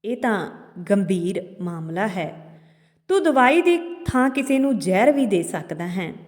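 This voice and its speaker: A woman's voice: medium pitch, sing-song, measured pace, a little mellow. A woman voicing a stern holy man who judges as king in a story, interrogating and commanding. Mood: stern, accusing. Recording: long hall-like reverb on voice.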